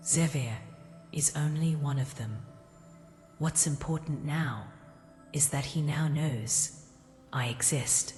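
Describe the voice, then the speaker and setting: A ghostly ancient goddess for a fantasy audio drama, solemn and imperious. Her voice is strikingly deep and unhurried, washed in long cavernous reverb. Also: a little mellow. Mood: calm, ominous. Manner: solemn, formal, deliberate, regal. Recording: cavernous reverb, faint music.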